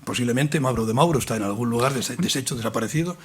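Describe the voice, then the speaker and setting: A man's voice: medium pitch, fast talker, breathy edge. A man speaking at a public colloquium, rambling through a long explanation with many restarts and fillers. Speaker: invited speaker. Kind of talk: lecture-style talk in a colloquium.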